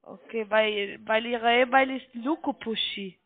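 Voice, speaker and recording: A high-pitched woman with a foreign accent makes a telephone sales pitch as a non-native speaker. She sounds congested with a cold. Her voice comes over a thin phone line. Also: fairly even intonation, unhurried, no echo. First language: not German. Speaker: call center trading sales caller. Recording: muffled band-limited phone line.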